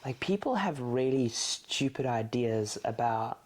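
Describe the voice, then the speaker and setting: A woman's voice: very deep voice, lively intonation, a little slow, a little mellow. A woman co-hosting a podcast, chatting loosely about a book with lots of "like" and frequent laughter. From South Africa. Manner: rambling, filler-heavy, laughs often. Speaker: podcast co-host. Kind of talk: casual book chat.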